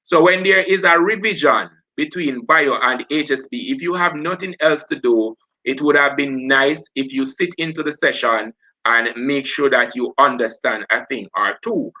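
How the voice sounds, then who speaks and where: A man's voice: mid-range voice, moderate pace, pushed and bright, muffled recording. A man from Jamaica with a Jamaican accent, hosting a live online revision session and talking through past papers as he goes. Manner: talks while working through papers, self-correcting.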